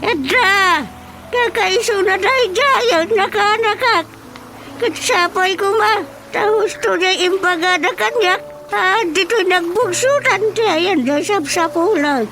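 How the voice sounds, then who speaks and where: Loud music sits behind an extremely high-pitched female character, a chatty, insistent visitor with an Ilocano accent. She draws her words out slowly and theatrically, full of exclamations, as in a radio comedy drama. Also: full character voice. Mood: excited, teasing.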